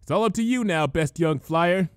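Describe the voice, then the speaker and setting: A man voicing a brisk, bossy female pony with a plan in a cartoon-based story. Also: on the high side, clean tone, no echo, clean studio sound, voice pushed up, lightly acted. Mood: confident.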